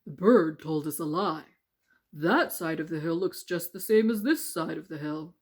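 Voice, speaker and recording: A woman's voice: sits low, normal speed. A woman voicing a glum old storybook stone with a plodding, solemn manner. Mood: satisfied. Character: two old stones on a hill, glum and resigned. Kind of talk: children's storybook character voice.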